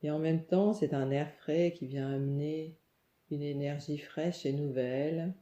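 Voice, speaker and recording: A woman leads a guided relaxation meditation, calm and soothing, giving gentle instructions in short phrases with pauses. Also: deep voice. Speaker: yoga nidra meditation guide. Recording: muffled, echoey room sound.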